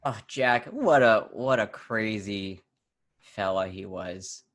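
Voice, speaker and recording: A man playing a proud, genial veteran New York newsboy who talks haltingly and grandly about himself in improvised comedy. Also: on the low side, fairly bright tone. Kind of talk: improvised comedy sketch spoofing old radio plays.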